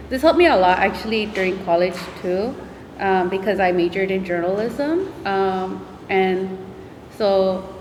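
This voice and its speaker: Woman panelist, soft-spoken, filler-heavy, in an echoey room. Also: medium pitch, slight background noise. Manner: soft-spoken, many ums. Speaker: tutor on a panel. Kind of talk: conference panel talk. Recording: echoey hall.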